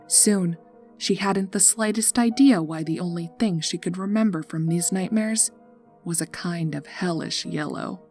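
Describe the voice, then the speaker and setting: A woman narrating a horror story in a deliberate, suspenseful, dramatic style. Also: lowish voice, dark tone. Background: background music.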